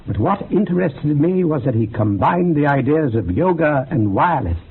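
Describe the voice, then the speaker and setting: An actor playing an earnest, bookish occult researcher in a radio drama, lecturing in a measured, gravelly, husky voice. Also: male voice, a little high, big pitch swings, full character voice. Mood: scholarly, absorbed. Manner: measured, lecturing, quotes texts with relish. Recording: muffled phone-like old radio recording.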